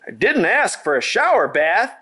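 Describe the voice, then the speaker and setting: A man voicing a flustered, excitable middle-aged farmer who hollers in a storybook reading. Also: on the high side, takes it slowly, full character voice. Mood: hollering. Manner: hollering, frantic.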